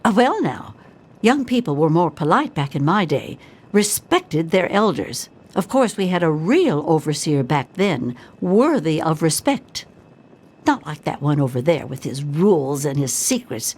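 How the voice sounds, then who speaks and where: A woman's voice: sits low, sing-song, unhurried, darkish tone, slightly rough, heavily acted. An older woman playing a rambling old vault dweller in a video game, kindly but tart, with old-fashioned exclamations. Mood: huffy. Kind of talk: video game character acting.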